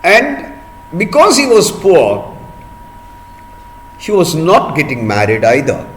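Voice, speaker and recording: A man telling a folk tale to children in a deliberate manner, pausing often and repeating or explaining words as he goes. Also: a little high, huge pitch swings, fairly bright tone, a little hiss or hum. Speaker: storyteller for children. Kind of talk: storytelling for children, with word explanations.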